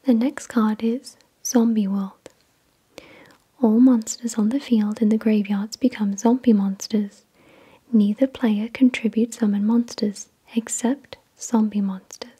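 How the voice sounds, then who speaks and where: A woman whispering in a slow, deliberate, soothing way, reading aloud in ASMR style with a breathy, unvoiced delivery. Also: on the high side, steady tone, warm tone. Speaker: ASMR video host.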